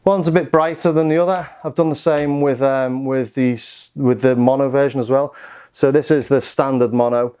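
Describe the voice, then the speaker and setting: A man's voice: mid-range voice, fairly even intonation, moderate pace, mellow. Understated man demonstrating gear. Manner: informal, understated, thinking aloud. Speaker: synth reviewer and demonstrator. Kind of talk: casual product demonstration.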